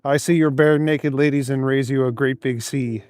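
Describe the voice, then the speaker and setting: A man's voice: medium pitch, fairly even intonation, normal speed, darkish tone, clean tone. A man live streaming while out on cold city streets, reading chat aloud in an easygoing, chuckling, rambling way. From Canada.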